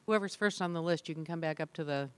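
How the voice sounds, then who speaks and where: A woman's voice: low-pitched, quick pace. A woman chairing a public meeting, giving polite, orderly procedural instructions.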